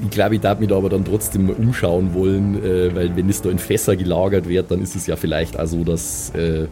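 A man's voice: low-pitched, mellow. A man, improvising in character in a tabletop game in a dry, grumbling manner. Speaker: player in a tabletop role-playing game. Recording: prominent music behind the voice.

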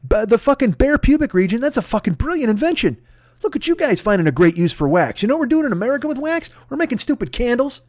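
A man's voice: extremely high pitch, darkish tone, dry recording. A man hosting a podcast, delivering a profane, sarcastic comedic rant. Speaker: podcast host.

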